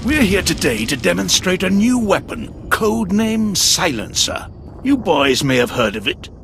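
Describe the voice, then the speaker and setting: A man's voice: high-pitched, big pitch swings, normal speed, vocal fry, background noise, exaggerated voice. An actor playing a pompous Imperial military commander in a video game, speaking in a grandiose, showman-like way. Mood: proud.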